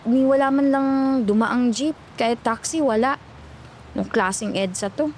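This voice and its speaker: A woman's voice: a little high, normal speed, a little mellow, a little hiss or hum, close to an everyday voice. A woman acting as an exhausted young call center agent, grumbling and cautious, in a horror story. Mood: weary. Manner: weary, chatty, grumbling.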